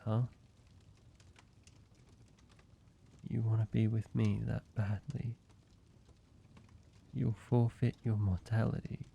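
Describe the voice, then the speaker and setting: A tender, earnest vampire lover played by a man speaks intimately to the listener in an audio roleplay. The voice is lowish and gravelly, with halting, reassuring phrases. The recording is slightly dull. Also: full character voice. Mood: stunned, hesitant. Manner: halting, hushed pleading, soft reassurance with pauses. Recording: dull sound, faint background noise.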